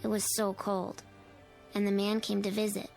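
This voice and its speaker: A woman's voice: medium pitch, monotone, moderate pace, gravelly, a little mellow, faint music behind, lightly acted, rough recording. Woman as an eerie, knowing little girl. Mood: haunting, insistent. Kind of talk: old-time radio drama acting.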